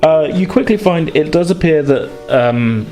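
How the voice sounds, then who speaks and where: A man's voice: fairly high pitch, lively intonation, measured pace, mellow, faint music behind. A man narrating a tabletop horror game as game master, phrasing things hesitantly as he improvises.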